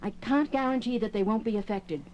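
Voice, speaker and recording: An actress playing a grave, precise space base doctor in a science fiction audio drama, measured and clinical. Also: female voice, on the high side, dull audio, a little hiss or hum, lightly acted.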